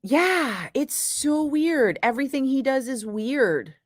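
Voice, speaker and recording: A middle-aged woman radio DJ chatting casually with viewers between breaks, profane and quick to laugh. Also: high-pitched, expressive, dry recording, studio quality. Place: radio studio.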